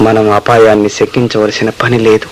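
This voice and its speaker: A man's voice: on the low side, completely flat delivery, a little fast, lightly acted. A man playing a reverent, noble Mughal emperor in a radio play, speaking in formal, declamatory style. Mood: calm resolve. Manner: formal, declamatory, grandly literary phrasing. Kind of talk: Telugu radio play acting. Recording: noisy old radio play recording.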